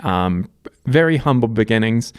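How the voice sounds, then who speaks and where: A man's voice: medium pitch, animated, moderate pace, clear, resonant tone, no echo, clean studio sound. A man in his thirties from Canada, telling his life story to camera in a reflective, casual way, with fillers and restarts. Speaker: vlogger.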